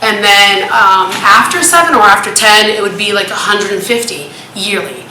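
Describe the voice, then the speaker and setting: Loud-voiced woman questioning a town board. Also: mid-range voice, lively intonation, moderate pace. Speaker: resident speaking at public hearing. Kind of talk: public comment at a town meeting. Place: town hall meeting room. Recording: echoey meeting room with light noise.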